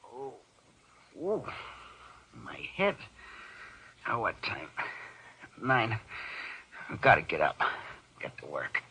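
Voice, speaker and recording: A man's voice: fairly low pitch, wildly swooping pitch, slow pace, pauses often, pushed and bright, husky, exaggerated voice. An actor playing a stubborn, reckless, hard-drinking man in a 1940s radio drama, blustering and muttering in broken phrases on a muffled old recording. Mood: groggy, groaning.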